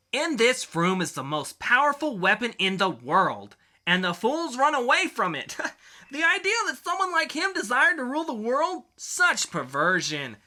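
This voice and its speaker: A man's voice: extremely high pitch, wildly swooping pitch, a little slow, bright, forceful tone, full character voice. A man voicing a whiny, grandiose dictator villain in a melodramatic, pompous comic-book reading. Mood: ranting, gloating.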